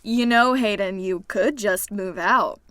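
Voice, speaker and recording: A woman's voice: medium pitch, unhurried, background noise, natural voice. A woman acting as an exasperated, sardonic young woman in an audio drama, with a dry, casual way of talking. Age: young adult.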